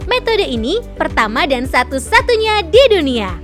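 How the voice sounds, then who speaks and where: A woman's voice: high-pitched, big pitch swings, moderate pace, faint music behind. A woman reading formal scripted promotional narration. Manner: smooth, formal read.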